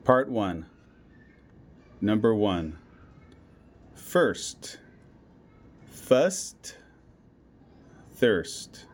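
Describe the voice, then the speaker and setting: A man reading out a school listening test, saying each word and line slowly and deliberately, with every item given twice. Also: on the low side, big pitch swings, slightly rough. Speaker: test reader.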